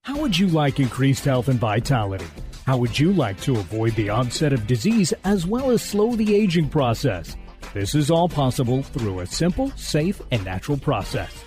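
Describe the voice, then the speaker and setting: Male radio spot announcer, mid-pitched, very animated scripted sell over a clear music bed. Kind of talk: scripted promotional narration.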